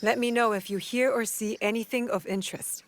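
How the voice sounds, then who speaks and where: A young actress playing a direct, earnest warrior heroine in a video game. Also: female voice, on the high side, steady tone, fairly quick, natural voice. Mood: kind, calm. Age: young adult. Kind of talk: video game cutscene acting.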